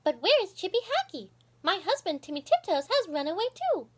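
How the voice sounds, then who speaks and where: A woman's voice: very high voice, sing-song, fairly quick, voice pushed up. A woman voicing an anxious squirrel wife from a storybook in a plaintive, calling manner. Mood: worried. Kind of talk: storybook character voice.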